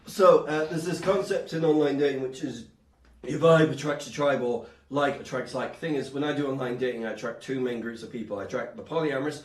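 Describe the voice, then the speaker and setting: A man performing a stand-up comedy routine in a rambling, animated way, often interrupting himself. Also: medium pitch, a little fast. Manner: rambling, self-interrupting, animated, sometimes shouting. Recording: echoey room, distant microphone.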